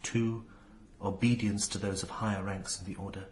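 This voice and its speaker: An actor playing an earnest, brooding young nobleman in a radio drama, solemn and halting in manner. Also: on the low side, steady tone, a little mellow, a little hiss or hum, close to an everyday voice. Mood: solemn reading.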